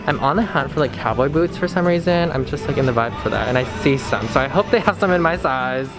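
A woman's voice: a bass voice, expressive, fast talker, over music, crowd noise. A woman in her twenties vlogging in a bubbly, slangy, playful way, filmed on a handheld camera at arm's length. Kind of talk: casual vlog chat. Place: outdoors, in a car and in shops.

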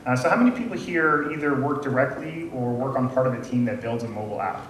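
A friendly man in his thirties gives a relaxed conference talk, filling pauses with ums and questions to the audience. He is heard from a distance in a large room with a noisy echo. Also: medium pitch. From Canada. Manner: friendly, chatty, many ums and uhs, asks the audience questions. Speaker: conference speaker. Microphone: room mic from far off. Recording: echoey room audio from far off, noisy.

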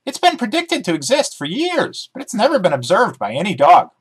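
A man voicing an eager, scheming female pet dog who argues excitedly about physics in a storybook reading. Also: sits high, brisk, husky, voice pushed up, exaggerated voice. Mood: excited, boastful. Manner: excitable, argumentative.

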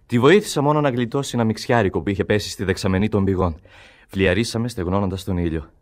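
An actor playing a stubborn, naive young apprentice pickpocket in a radio comedy. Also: male voice, on the low side, lightly acted. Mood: defiant. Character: cheeky, stubborn twenty-year-old apprentice pickpocket. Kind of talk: radio theatre comedy acting.

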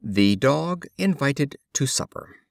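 A man reading fables as scripted audiobook storytelling, with measured, deliberate phrasing. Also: medium pitch, animated, creaky, no echo, clean studio sound. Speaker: audiobook reader.

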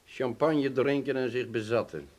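A man's voice: medium pitch, fairly even intonation, moderate pace, a little mellow, lightly acted. An actor playing a bitter, defiant male employee in a radio drama, speaking in a terse, resentful way. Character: bitter, defiant male castle employee who has resigned.

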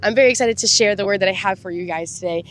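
A woman's voice: mid-range voice, very fast, a bit bright, a little hiss or hum. A young woman with a congested voice hosting a faith vlog outdoors in a park, chatting, laughing and praying earnestly into a small handheld mic. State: congested from sinus infection. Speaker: faith vlogger and host. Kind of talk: casual devotional talk and prayer. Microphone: handheld clip-on mic held near mouth.

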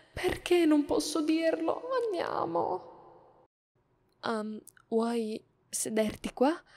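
A woman acting a shy, flustered schoolgirl in love with a friend in an ASMR romance roleplay, soft, timid and hesitant. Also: sits high, husky, no room sound, full character voice. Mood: anxious, bashful.

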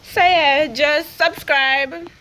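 Young Jamaican woman, high and bouncy, easygoing teasing vlogger, taking her time. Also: fairly even intonation, very slow, bright, forceful tone, a little hiss or hum. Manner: playful teasing, lots of laughing. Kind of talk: casual vlog chat. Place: outdoors on a grassy rural farm.

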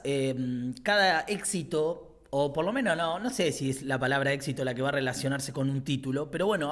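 A man in his thirties with an Argentine accent hosting an interview, asking long, rambling questions. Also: a little high. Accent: Argentine Spanish. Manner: rambling questions, loose and friendly. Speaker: interview host. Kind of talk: casual interview. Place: small studio with brick wall. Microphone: studio mic on desk, headphones.

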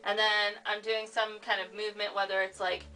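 A woman's voice: medium pitch, flat delivery, a little fast, bright, forceful tone, a little hiss or hum, low-quality recording. A young American woman giving a casual, rambling, unscripted tutorial at home in an informal way. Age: young adult. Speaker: tutorial host, amateur fandubber. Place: cluttered room at home.

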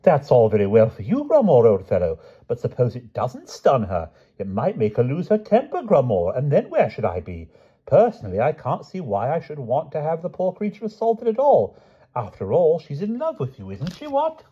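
A man's voice: mid-range voice, wildly swooping pitch, moderate pace, slightly rough, lo-fi sound, voice pushed up. A man voicing a bumbling, fussy elderly knight-king who dithers in a plummy upper-class manner. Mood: fretful.

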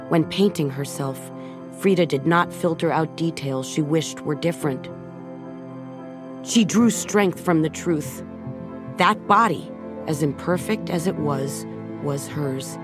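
Low-voiced woman reading a gentle story. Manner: gentle, measured storytelling with soft dips in volume. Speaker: audiobook narrator. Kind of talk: scripted storybook narration for children. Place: recording studio. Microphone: close studio microphone. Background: background music.

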